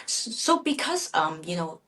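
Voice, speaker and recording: A middle-aged woman, a native Chinese speaker raised in Canada, answering interview questions conversationally in a halting, self-correcting way. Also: lowish voice, sing-song, bright, forceful tone. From Vancouver, Canada. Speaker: real estate agent guest.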